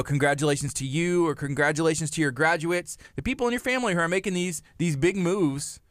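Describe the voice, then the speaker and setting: A man's voice: on the high side, a bit bright, no room sound. A man in his thirties co-hosting a live morning radio show, upbeat and chatty, laughing often and restarting phrases, in a studio on a studio microphone. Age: 30s. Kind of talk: casual live radio chat.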